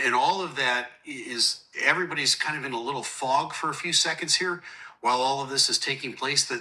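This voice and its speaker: A man's voice: medium pitch, sharp tone, poor audio. A man explaining recorded air traffic communications as an analyst, earnest, somber and plain-spoken. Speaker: host, aviation analyst explaining air traffic control audio.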